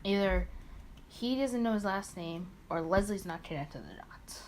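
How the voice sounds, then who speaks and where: A woman's voice: mid-range voice, animated, moderate pace, a little hiss or hum. A woman hosting a let's play, reading a visual novel aloud expressively with casual muttered asides and small stumbles.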